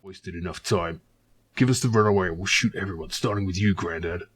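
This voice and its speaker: Villainous military commander, performed by a man: deep-set, rough husky voice, cold, curt, threatening authority. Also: a little fast, fairly bright tone. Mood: cold menace. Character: menacing male military commander, cold and ruthless.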